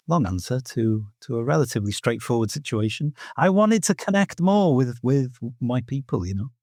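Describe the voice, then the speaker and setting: A middle-aged man from Wales speaks with a Welsh accent, his first language being Welsh. He explains things earnestly and thoughtfully, scattering "you know" through his answers. His voice sits at an ordinary pitch and is recorded dry and close. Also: darkish tone, no echo. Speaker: guest teacher of Welsh myth. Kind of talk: reflective podcast interview answers.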